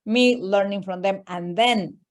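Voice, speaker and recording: A woman's voice: mid-range voice, measured pace. Woman, native Spanish speaker, thoughtful. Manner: thoughtful, with restarts and fillers. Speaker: teacher educator. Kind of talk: workshop discussion comment.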